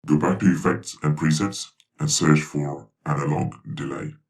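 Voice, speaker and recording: Very deep robot instructor. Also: male voice, flat delivery, normal speed, distant mic. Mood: instructive. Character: instructive robot speaking for a video channel. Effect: robotic pitch-lowered voice with flanger and delay. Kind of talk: step-by-step tutorial instruction.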